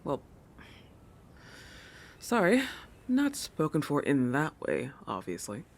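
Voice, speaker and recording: A woman's voice: low-pitched, huge pitch swings, takes it slowly, full character voice. A woman voicing a proud, possessive young shark girl in a roleplay monologue, with a haughty, teasing manner that keeps correcting itself. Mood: flustered, possessive. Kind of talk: roleplay audio monologue addressed to the listener.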